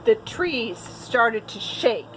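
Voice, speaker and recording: A woman teacher telling a picture-book story to young children, expressive, with little asides to her listeners. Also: on the high side, drawn-out words, noisy background.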